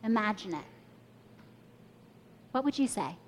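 A woman's voice: medium pitch, animated, measured pace. A young woman preaching a sermon, telling a personal story and inviting listeners to imagine along with her. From Kenya and the US.

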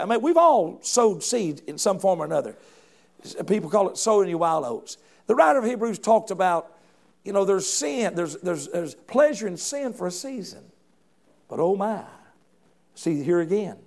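Fervent gravelly-voiced middle-aged preacher. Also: male voice, sits high, darkish tone. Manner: fervent, folksy preaching with frequent restarts and calls of "come on". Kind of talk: sermon.

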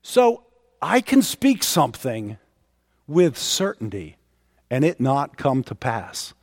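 A man in his fifties preaching a sermon, leaning on pauses and repetition for emphasis. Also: mid-range voice, huge pitch swings, no echo, studio quality, in a large hall. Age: 50s. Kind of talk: sermon to a congregation.

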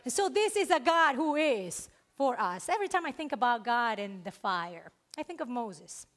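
A woman's voice: on the high side, sing-song, normal speed, a bit bright. A woman in her thirties with a Spanish accent, preaching a sermon earnestly and emphatically.

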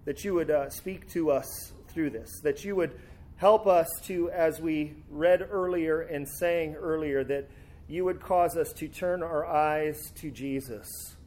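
High-pitched male pastor with a slight cold, easygoing preaching. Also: slow pace. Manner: relaxed, conversational, with fillers and restarts. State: sore throat and a cold. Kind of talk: sermon with scripture reading. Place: church sanctuary.

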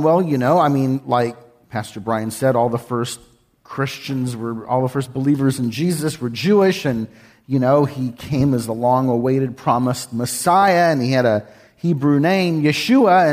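A man from Texas, a guest speaker giving a folksy, joking sermon in a church. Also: fairly high pitch. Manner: folksy, joking, sings with congregation. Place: church sanctuary.

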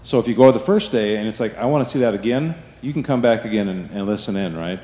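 A man's voice: on the low side, animated, quick pace, mellow. A man giving church announcements to the congregation in a chatty way, often asking "right?". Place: church sanctuary. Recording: echoey hall, faint ambience.

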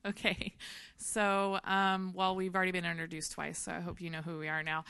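Woman, 30s, missionary at a church; earnest testimony, dry close sound, slightly low. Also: monotone, moderate pace, pushed and bright, clear, resonant tone, dry recording. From Memphis, Tennessee. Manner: earnest, laughs often, many fillers. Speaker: missionary speaker. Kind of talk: personal testimony to a congregation.

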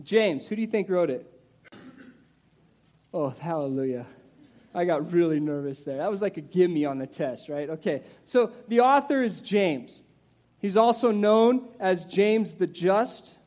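A man in his forties preaching a sermon in a conversational, animated way, with asides to the listeners and some restarts. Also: measured pace. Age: 40s. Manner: animated, conversational preaching with rises in pitch and volume. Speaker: pastor.

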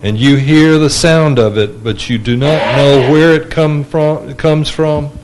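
A man with a big voice in a church discussion group, reading a scripture passage aloud and then reflecting on it slowly and deliberately. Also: fairly high pitch, a crowd in the background, low-quality recording. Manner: slow and deliberate.

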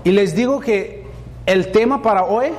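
A man's voice: high-pitched, a little slow, in a large hall, a little hiss or hum. Man preaching in learner's Spanish. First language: English. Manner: conversational, asks the congregation questions. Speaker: pastor. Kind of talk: bilingual sermon.

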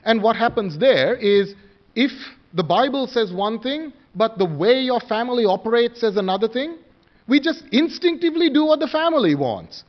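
A man's voice: very high voice, expressive, moderate pace, highs cut off. A man from Sri Lanka preaching a sermon, earnest and questioning, often restarting his phrases.

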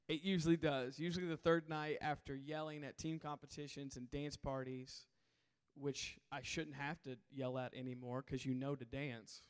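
A hoarse man preaching to a camp crowd in a large hall, calling for responses from the audience. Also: mid-range voice, flat delivery, moderate pace. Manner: call-and-response, coaxing the crowd. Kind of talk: sermon to a youth camp crowd. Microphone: handheld stage mic.

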